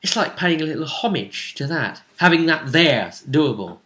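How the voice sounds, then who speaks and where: A man with a bright, forceful voice talks casually as a game master looking back on a tabletop session. His pitch moves about in a lively way, and he tells it like a story with hesitant asides. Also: moderate pace. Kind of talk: casual chat recapping a tabletop role-playing session.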